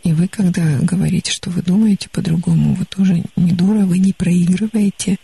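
A woman psychologist counselling a caller on a studio radio call-in show, calm and gently advising. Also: on the low side, a little fast, creaky, slightly rough.

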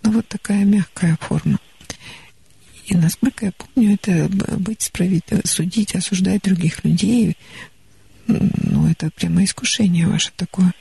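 A woman psychologist counselling a caller on a studio radio call-in show, calm and gently advising. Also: lowish voice, normal speed, creaky, slightly rough, dry recording.

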